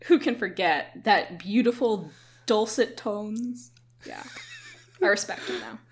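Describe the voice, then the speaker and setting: A woman co-hosting a podcast in casual chat, opinionated and quick to laugh. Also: mid-range voice, expressive. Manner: chatty, opinionated, laughs often. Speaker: podcast co-host.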